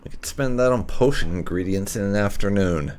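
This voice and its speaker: A man's voice: on the low side, a little fast, darkish tone, slight background noise. A man giving casual let's play commentary with some role-play, in a dry, blunt manner. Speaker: game streamer, player.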